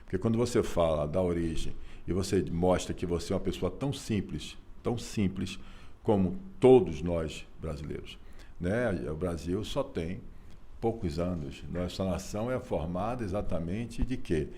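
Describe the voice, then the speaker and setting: A Brazilian man, telling his family story in a relaxed interview, folksy and repetitive with frequent rhetorical tags. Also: low voice, moderate pace, breathy edge. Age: 60s. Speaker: interview guest, author and businessman.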